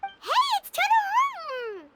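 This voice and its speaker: A woman voicing a small, cute, childlike fairy companion in a video game, squeaky, excitable and curious. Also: measured pace, heavy background noise, exaggerated voice. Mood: excited. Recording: loud music behind.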